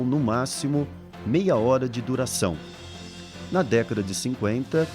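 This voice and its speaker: A man with a Brazilian accent reading scripted documentary narration. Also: medium pitch. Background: instrumental music.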